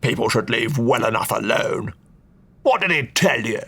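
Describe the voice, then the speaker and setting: A man voicing a cantankerous elderly male duke who blusters and scolds in slow, gruff bursts. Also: medium pitch, lively intonation, fairly bright tone, heavily acted. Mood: suspicious. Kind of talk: audiobook character voice.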